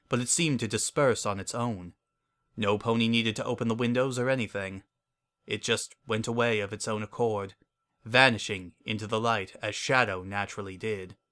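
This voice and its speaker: A man narrating a scripted story as a dramatic reading, in a measured, solemn manner. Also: fairly low pitch, bright, forceful tone, clean tone.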